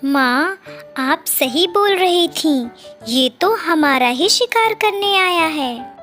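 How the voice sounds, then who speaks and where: Woman doing tiny innocent girl birdie, super high voice, talks slow, cartoon. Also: expressive, a bit bright, soft background music, heavily acted. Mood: earnest. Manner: childlike, earnest. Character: innocent little female young bird, obedient daughter. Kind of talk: Hindi cartoon character acting.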